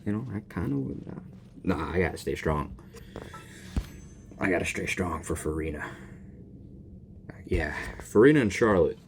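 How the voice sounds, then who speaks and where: A man's voice: low voice, animated, normal speed, pauses often, a little hiss or hum. A man doing casual game reaction commentary, talking to himself expressively as he plays. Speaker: gaming video host. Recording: game music behind voice.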